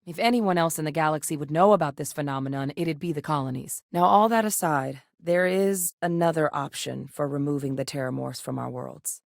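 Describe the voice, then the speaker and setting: A composed female military scientist for a video game. Her voice is low-pitched and recorded very dry. She speaks in a measured, serious way, with the earnest weight of a commander. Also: creaky, no echo. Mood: grave, resolute. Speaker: voice actress.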